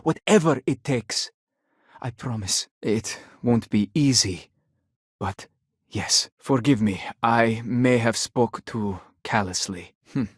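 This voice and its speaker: A man's voice: medium pitch, slow pace, husky, no room sound, studio quality. A man playing a bitter, proud, middle-aged widowed father in a video game, speaking in a stern, indignant way with clipped, formal phrasing. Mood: stern, guarded.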